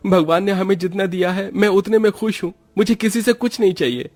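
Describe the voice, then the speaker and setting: Man playing a firm, high-pitched young husband. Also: brisk, darkish tone, lightly acted. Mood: earnest. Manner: earnest, assertive. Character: firm, protective young husband and dutiful son. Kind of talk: melodramatic storybook dialogue.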